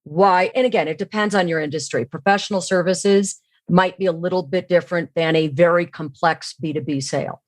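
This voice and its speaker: A woman's voice: fairly low pitch, brisk, dry recording. A woman explaining things as an expert podcast guest, in an instructive way, often posing questions and answering them herself. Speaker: guest, sales training expert. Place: remote online call.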